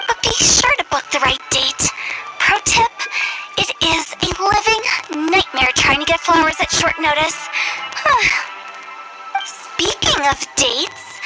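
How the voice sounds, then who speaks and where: A young woman funeral director in a video game, pitched very high. She is mischievous and theatrical, delivering morbid sales patter with playful cheer, while music clearly plays behind her. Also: rough recording. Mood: cheerfully morbid. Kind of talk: video game character acting.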